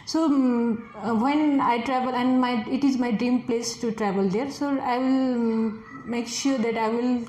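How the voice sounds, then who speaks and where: A woman's voice: on the high side, fairly even intonation, a little slow, few pauses, a little mellow. A woman in her twenties with a Nepali accent, a native Nepali speaker, answering exam questions hesitantly with many fillers. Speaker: test candidate, forest officer. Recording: echoey room with faint noise.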